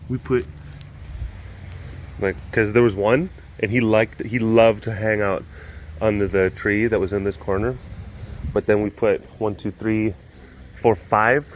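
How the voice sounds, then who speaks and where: A man's voice: on the low side, slight background noise. Joking man with a Wisconsin accent. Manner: playful, rambling, jokey. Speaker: animal sanctuary vlogger. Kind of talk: casual vlog chat.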